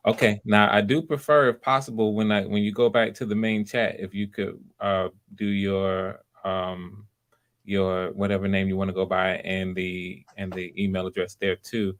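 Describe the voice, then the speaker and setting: A man's voice: sits low, flat delivery, moderate pace. A man hosting online auditions, casually coaching people in an encouraging, reassuring way. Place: live online video call.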